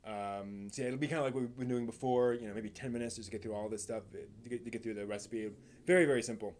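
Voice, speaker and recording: Male streamer, mid-pitched voice, unscripted and conversational, thinks out loud with frequent rewording. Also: fairly even intonation, slight background noise. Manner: halting, thinking aloud, rephrasing mid-sentence. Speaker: live stream host. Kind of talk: casual unscripted talk to live viewers.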